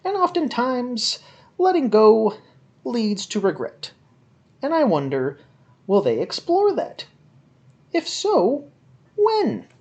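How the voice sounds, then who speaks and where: Melodramatic woman with wildly swooping pitch. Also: big pitch swings, darkish tone, highs cut off. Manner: melodramatic, overwrought, with drawn-out emphatic phrases. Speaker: reader of a written film review. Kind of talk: theatrical dramatic reading.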